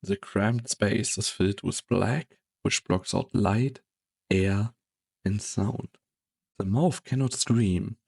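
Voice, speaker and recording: A man narrating a game story in a measured, solemn, dramatic scripted style. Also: lowish voice, gravelly, darkish tone, husky.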